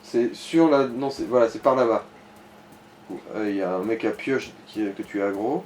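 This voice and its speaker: Man, mid-pitched, dark soft timbre, casual gaming guide, slangy and crude. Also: normal speed, soft background music, rough recording. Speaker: co-commentator guiding the player.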